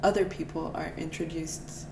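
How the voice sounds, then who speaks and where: Flat gravelly woman from Portugal, distant. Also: sits low, moderate pace. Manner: reflective, thinks aloud with fillers. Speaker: podcast host. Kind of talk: casual interview conversation. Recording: roomy, distant, noisy recording.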